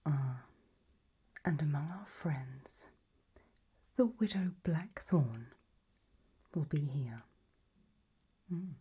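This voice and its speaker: A woman playing an elegant, macabre gothic matriarch hosting in a soft, breathy ASMR whisper, genteel and darkly droll. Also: on the low side, full character voice. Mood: gracious, darkly amused.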